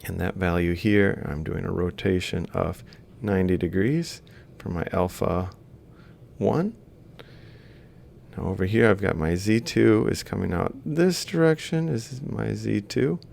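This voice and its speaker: Man, low gravelly voice, heavy fry, informal technical lecturer, step-by-step explaining, thinking aloud. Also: unhurried, a little mellow. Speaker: robotics lecturer. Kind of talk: informal worked-example lecture, explaining while drawing. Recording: faint background noise with low rumble.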